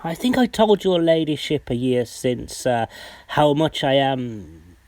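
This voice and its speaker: A man acting a sly, cunning henchman in a Shakespearean radio play, deliberate and conspiratorial. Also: medium pitch, very animated, a little mellow, dry recording. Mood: sly plotting. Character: sly, cunning henchman plotting slander for pay. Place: home recording.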